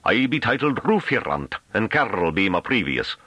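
A man's voice: fairly low pitch, big pitch swings, normal speed, pushed and bright, breathy edge, dry recording, pitched above the performer's own voice, full character voice. A man voicing a big, mocking, belligerent farmer in a thick rustic dialect with rolled Rs and archaic phrasing, for an audiobook. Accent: thick rustic invented dialect with rolled Rs.

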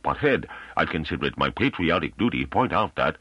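A man's voice: rumbling low, very animated, brisk, sharp tone, slightly rough, dry recording, heavily acted. A man voicing a big male underling, falsely humble and needling, in an audiobook with a dull recording. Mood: mock humble.

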